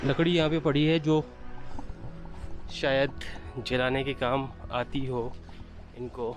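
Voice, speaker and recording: A man's voice: medium pitch, fairly even intonation, takes it slowly, soft background music. A man, a travel vlogger talking casually and curiously, asking questions and repeating words. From Patna, Bihar, India. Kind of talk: casual chat. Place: small village house and snowy lanes outside. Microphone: handheld camera at arm's length.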